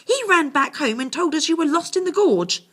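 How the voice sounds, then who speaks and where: A woman voicing a worried, indignant mother in a storybook, loud and scolding. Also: sits high, animated, normal speed, pitched above the performer's own voice. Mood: cross scolding. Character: scolding, worried mother calling her boys. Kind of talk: storybook character reading.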